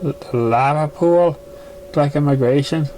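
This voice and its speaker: Concerned mare read by a man for a storybook, reproachful, raised pitch, soft timbre, faint noise. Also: a little slow, lightly acted, poor audio. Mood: alarmed scolding.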